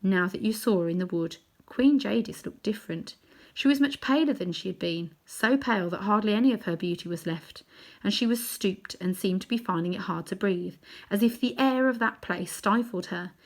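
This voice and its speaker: A woman reading a children's novel aloud in chapters, adding gentle asides to her listeners. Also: medium pitch, normal speed. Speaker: storybook reader.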